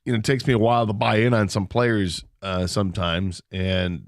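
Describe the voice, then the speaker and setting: A man co-hosting sports radio, talking in a measured, analytical way with fillers. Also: on the low side, little pitch movement, dry recording, studio quality. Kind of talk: casual sports talk. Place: radio studio.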